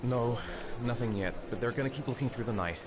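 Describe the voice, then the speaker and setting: A man's voice: medium pitch, a little fast, a crowd in the background, close to an everyday voice. An actor playing a distraught, hesitant father in a video game drama, stammering and unsure of himself. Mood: anxious, subdued. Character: distraught, hesitant father of a missing young son. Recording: game music behind dialogue.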